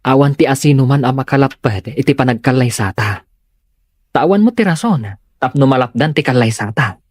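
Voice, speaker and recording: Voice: mid-range voice, expressive, fairly quick, no room sound, clean studio sound, lightly acted. An actor playing a stern, emphatic character who scolds in an Ilocano comedy drama. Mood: troubled.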